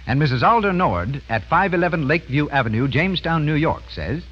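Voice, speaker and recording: A man's voice: a little high, expressive, brisk, faint music behind. An American man announcing radio advertising in a formal, emphatic 1930s style, enunciating carefully. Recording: muffled 1930s radio broadcast recording.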